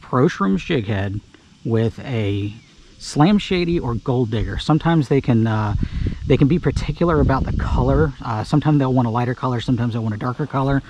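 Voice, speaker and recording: A man casually talking through a fishing trip from his kayak on a creek, relaxed and full of filler words, recorded on an action camera outdoors. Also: mid-range voice, a little fast, rough recording. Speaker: fishing guide. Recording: outdoor camera mic, audible background noise.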